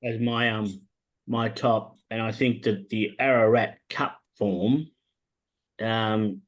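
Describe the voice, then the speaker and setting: Animated Australian man selling his racing tip. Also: takes it slowly, low-quality recording. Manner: animated salesman pitch, many "um" fillers. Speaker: racing tipster host. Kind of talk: casual podcast chat about horse racing.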